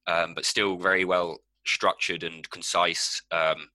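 Low bloke rambling about albums, lots of ums, dry close sound. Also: male voice, little pitch movement, slow, pushed and bright, no room sound. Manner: rambling, sweary, many ums. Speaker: podcast co-host. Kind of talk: casual music podcast chat.

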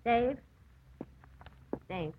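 An actress playing a bitter, brooding, resentful wife in a 1950s radio drama. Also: female voice, on the high side, expressive, extremely slow. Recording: tinny, noisy, crackly vintage radio recording.

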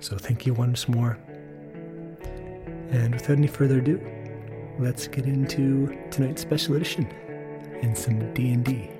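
A man presenting a podcast episode as a soothing bedtime introduction, with soft, lingering, hushed phrasing. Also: medium pitch, fairly even intonation, normal speed. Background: music under the voice.

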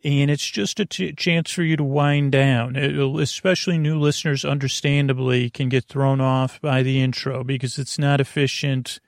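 Man, creaky gravelly voice, hushed golf-commentator tones, soothing, halting, meandering bedtime monologue, close dry sound. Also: mid-range voice, steady tone, dry recording. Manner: meandering, halting, repeating and over-explaining. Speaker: host of a bedtime sleep podcast.

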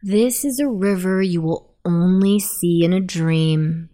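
Female sleep meditation narrator, slightly low pitch, very unhurried pacing, soothing and deliberate delivery. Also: dark tone. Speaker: meditation guide.

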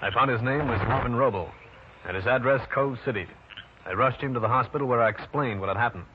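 An actor playing a dry, self-assured private detective in an old-time radio detective drama. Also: male voice, medium pitch, fast, lightly acted. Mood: wry narrating. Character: wry, hard-boiled male New York private detective. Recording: dull, noisy vintage radio recording.

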